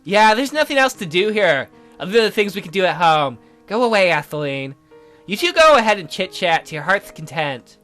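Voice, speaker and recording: A woman's voice: fairly low pitch, very animated, a little fast, fairly bright tone, soft background music. A woman voicing an upbeat, teasing young female classmate in a visual novel game, breezy and casual. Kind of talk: visual novel character dialogue.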